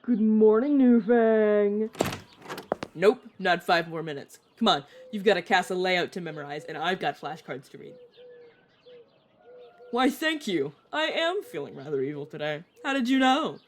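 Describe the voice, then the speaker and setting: A woman's voice: medium pitch, expressive, unhurried, slight background noise, natural voice. A woman voice actor playing a playful, sarcastic young vampire mentor who chats and teases in an audio roleplay. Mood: bossy, playful. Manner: teasing, sarcastic, chatty. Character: playful, teasing vampire mentor, turned at nineteen. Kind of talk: narrative audio roleplay, one-sided conversation.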